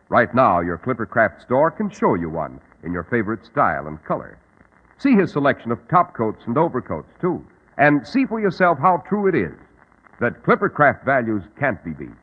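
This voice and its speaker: A man's voice: mid-range voice, husky, narrow, tinny audio. A man with an American accent announcing a 1940s radio show in a formal, polished salesman's delivery on an old recording.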